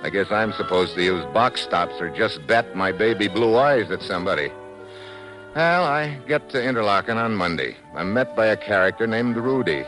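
A lowish male American voice that swings widely up and down, from a vintage radio thriller. A man acts a two-fisted government agent, slangy and wry, tossing off hard-boiled wisecracks in old-time serial style. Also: expressive, normal speed, over music, natural voice, low-quality recording. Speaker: radio drama lead. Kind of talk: 1950s radio acting, hard-boiled first-person narration. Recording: vintage 1950s radio recording.

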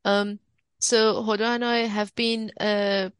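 A woman's voice: medium pitch, level tone, slow, clean tone, dry recording. A woman in her thirties from Iran, with an Iranian accent, explaining things earnestly and conversationally as an interview guest. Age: 30s. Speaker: podcast guest, activist.

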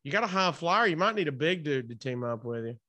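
Male radio interviewer, high-pitched and bright, informal jokey chat style. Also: animated, fast talker.

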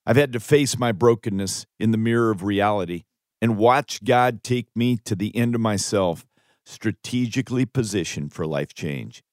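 A man's voice: medium pitch, no room sound, studio quality. An American man in his fifties reading his own audiobook as a pastor and author, with an earnest, emphatic preacherly delivery. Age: 50s. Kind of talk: audiobook narration. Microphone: close studio microphone.

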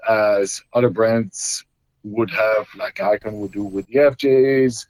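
Low-voiced Belgian man talking shop. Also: slow, a little mellow, dry recording, rough recording. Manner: explains at length with many fillers and restarts. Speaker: guest, custom Jeep builder. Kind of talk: casual interview talk.

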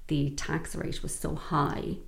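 Low gravelly Dublin woman. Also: level tone, unhurried. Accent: Dublin Irish. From Ireland, Dublin north side. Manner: reflective, frequent fillers, laughs while telling stories. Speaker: guest. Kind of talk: conversational interview storytelling.

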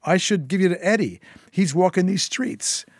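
A man's voice: high voice, moderate pace, no echo, studio quality, voice pushed up. A man voicing a menacing, volatile criminal woman in an audiobook, with a taunting, sneering manner. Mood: furious, sneering.

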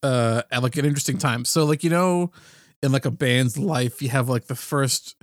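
A man's voice: medium pitch, normal speed, no room sound. A man in a mid-range voice co-hosts a casual music chat. He talks hesitantly and pads his sentences with fillers. The recording is dry and close. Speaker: podcast co-host.

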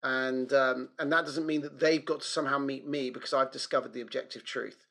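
A man's voice: mid-range voice, steady tone, brisk, a bit bright. A man in a casual religious discussion, speaking in a reflective, tentative way as he works through ideas.

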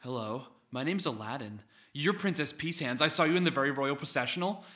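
A woman voicing a plucky, naive boy hero in an earnest, excitable way for a children's comic story. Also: extremely low pitch, a little fast. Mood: shy, earnest.

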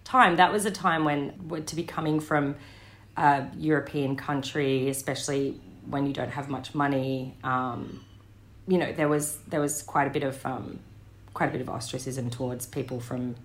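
An Australian woman in her thirties, an interview guest telling family stories in a thoughtful way, pausing with ums and restarting her sentences. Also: very deep voice, steady tone, a little hiss or hum. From Western Sydney, Australia. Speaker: interview guest, director and actor. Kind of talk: reflective conversational storytelling.

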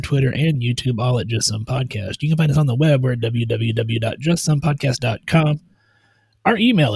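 A man co-hosting a podcast, chatting in a relaxed, friendly way and reading out the show's plugs. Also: mid-range voice, creaky, slightly rough, dry recording. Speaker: podcast co-host. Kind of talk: casual chat.

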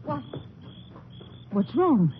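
A woman playing a warm, anxious rancher's wife with a folksy American way of talking, in a 1950s radio western. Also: high-pitched, very animated, extremely slow, heavily acted. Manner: folksy rural speech. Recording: noisy muffled old radio recording.

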